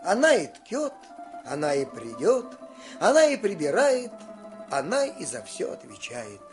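A man narrating a folk fairy tale in an expressive, singsong storytelling manner. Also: high voice, unhurried, over music.

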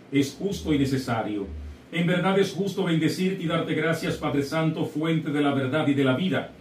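A middle-aged man with a Dominican Spanish accent, a priest leading Mass in solemn, measured liturgical prayer. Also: fairly high pitch, darkish tone. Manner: solemn, measured liturgical recitation, also saying the people's responses. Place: small studio before a backdrop. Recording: roomy, slightly distant mic with faint hiss.

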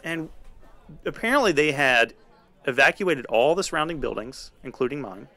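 A man telling a personal story in a casual, animated way on a podcast. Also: fairly high pitch, moderate pace, no echo.

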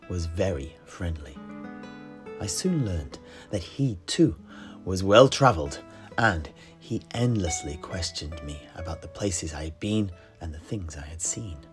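A man telling a fantasy tale in character, theatrical and measured, with dramatic pauses and stresses. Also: low-pitched. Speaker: storyteller, in-character tavern keeper. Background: plucked-string music.

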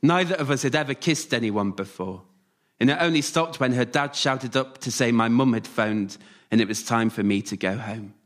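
A man performing a scripted autobiographical story live, delivered in measured short phrases. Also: mid-range voice, a bit bright. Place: radio theatre stage, before a live audience.

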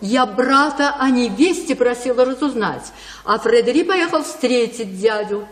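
High-voiced, fretful country mother. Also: animated, a little slow. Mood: anxious. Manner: fretful, declamatory. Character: anxious, doting country mother. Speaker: radio drama actress. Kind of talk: theatrical verse radio play. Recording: long hall reverb.

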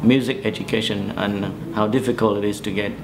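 A man in his forties from Vietnam with a Vietnamese accent, recounting memories in a halting, hesitant way as he searches for words in an interview. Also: fairly low pitch, steady tone, noisy background. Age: 40s. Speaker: interviewee, musician. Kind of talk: oral history interview, personal recollection. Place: small bedroom, indoors.

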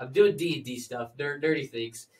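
A teenage boy with a slightly raspy throat, rambling through a story in casual chat around a classroom table. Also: mid-range voice, brisk, distant mic, a little hiss or hum. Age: teens. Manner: rambling storytelling, lots of fillers. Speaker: podcast co-host.

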